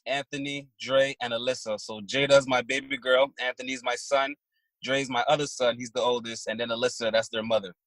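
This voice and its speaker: A man in his thirties from Barbados, a trucking business owner answering interview questions in a plain, halting way. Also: mid-range voice, steady tone, fairly bright tone, no room sound, low-quality recording. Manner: plain-spoken, halting with fillers and restarts.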